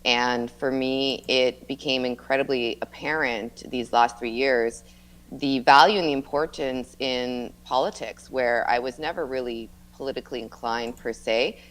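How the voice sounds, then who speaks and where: A middle-aged woman with a strikingly low voice for a woman. She speaks as a local political candidate, answering interview questions in an earnest, conversational way. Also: fairly even intonation, measured pace, rough recording. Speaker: mayoral candidate being interviewed.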